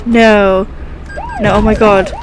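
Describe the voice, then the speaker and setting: A young woman with a croaky sore throat chatting casually and upbeat while playing a driving game, with faint vehicle sounds behind her. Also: mid-range voice, level tone, slow pace, background noise. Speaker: gaming channel host. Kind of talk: casual gameplay commentary.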